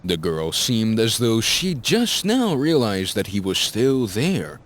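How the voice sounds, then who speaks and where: A man's voice: on the low side, very animated, slow pace. A man narrating a scripted fantasy story as a dramatic audiobook-style narrator.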